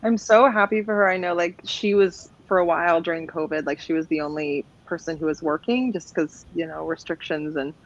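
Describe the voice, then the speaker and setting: Lowish-voiced gushing woman, slightly dull audio. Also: steady tone, brisk, hardly any pauses. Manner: gushing, slangy. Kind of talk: casual excited chat.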